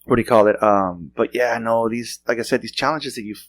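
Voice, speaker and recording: A man's voice: medium pitch, a little fast. Rambling man hosting a casual podcast. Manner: loose rambling storytelling with frequent restarts and laughs. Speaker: podcast host. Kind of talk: casual chat.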